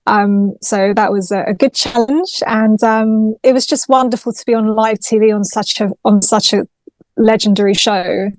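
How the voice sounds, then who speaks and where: A woman's voice: mid-range voice, fairly even intonation, normal speed, a little mellow, no echo, low-quality recording. A chatty, articulate woman from London, raised bilingual in Greek, talking about her career as a singer-songwriter in a casual interview over a video call.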